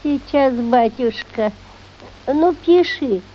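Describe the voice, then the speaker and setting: A woman's voice: sits high, animated, lo-fi sound, a little hiss or hum. An actress playing a pious, stubborn old village peasant woman with a rural Russian dialect and a folksy, muddled manner in a radio play. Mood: earnest dictating. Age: older. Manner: folksy, drawn-out dictating, muddled. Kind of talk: radio play acting of a classic short story.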